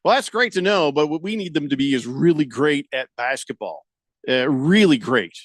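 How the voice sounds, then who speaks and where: A man's voice: fairly high pitch, animated, normal speed, no room sound, studio quality. A man hosting a sports radio show, joking around and reading out listener comments in a playful way. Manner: jokey, reads listener comments aloud in playful voices. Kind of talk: casual sports radio chat.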